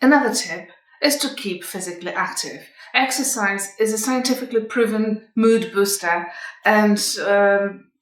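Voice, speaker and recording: A middle-aged woman giving advice to viewers as a vlog host, in a measured, explanatory manner. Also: mid-range voice, faint music behind. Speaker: communication coach and vlog host. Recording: echoey room, distant microphone.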